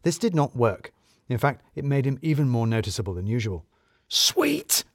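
A man's voice: mid-range voice, animated, a little fast, mellow, dry recording. A man giving dry, wry, scripted first-person narration of a comic mystery. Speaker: audio drama narrator.

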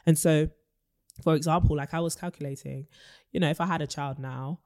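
A woman in her twenties, from Nigeria, co-hosting a podcast in relaxed conversation, animated and quick to laugh, thinking aloud with asides. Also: low voice. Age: 20s. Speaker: podcast co-host. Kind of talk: casual chat.

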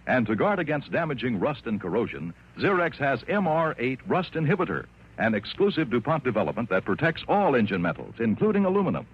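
Male announcer for old-time radio spot, husky, lively intonation, muffled recording. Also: medium pitch, a little fast. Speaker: commercial announcer. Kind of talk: 1950s-60s radio advertising read.

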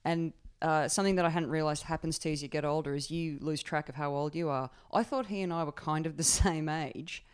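A woman, a comedian telling lively, sweary stories in a casual podcast chat. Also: sits low, a little fast, few pauses, clean tone. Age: 40s. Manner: lively storyteller, swears, laughs often. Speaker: comedian guest.

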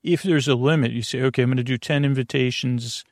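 A man's voice: medium pitch, steady tone, fast, no echo, clean studio sound. A man hosting a bedtime sleep podcast in a rambling monologue, often interrupting himself and trailing off mid-thought. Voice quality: creaky, gravelly. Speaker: podcast host.

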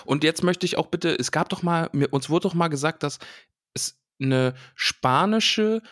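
A man's voice: fairly high pitch, lively intonation, unhurried, fairly bright tone, no echo. Playful man on a dry studio mic. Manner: playful, jokey, rambles off topic. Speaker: podcast co-host. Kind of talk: casual podcast chat, reading out listener names.

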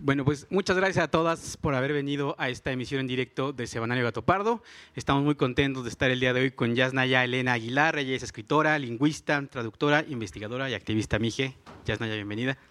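A man's voice: mid-range voice, quick pace, a bit bright. A man hosting a live podcast interview, introducing guests and asking questions. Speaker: host.

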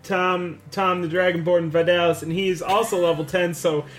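A man's voice: high-pitched, level tone, normal speed, faint music behind. A wry man chatting as a player on a casual tabletop podcast.

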